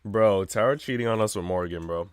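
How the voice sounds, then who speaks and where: A man's voice: on the low side, a little fast, clear, resonant tone, no room sound. A man reading a visual novel aloud as a streamer, narrating the script and dropping in slangy, joking asides.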